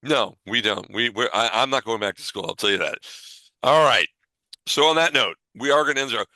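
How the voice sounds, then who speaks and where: A man hosts a casual podcast in a bright, forceful voice. The sound is close and dry. He talks in a reassuring, informal way and tends to repeat himself to make a point. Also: mid-range voice, fairly quick, no echo, poor audio. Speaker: podcast host. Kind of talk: casual podcast chat and sign-off.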